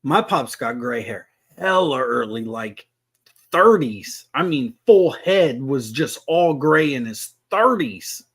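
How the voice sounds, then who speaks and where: A man's voice: mid-range voice, sing-song, takes it slowly, gravelly, a bit bright, husky. A man in his forties chatting casually, easygoing, with frequent cursing and laughter. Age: 40s. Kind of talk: casual chat.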